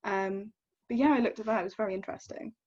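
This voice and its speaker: A woman's voice: mid-range voice, fast, a little mellow, lo-fi sound. A young woman, chatting in a thoughtful, casual way about literature. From South Wales. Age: young adult.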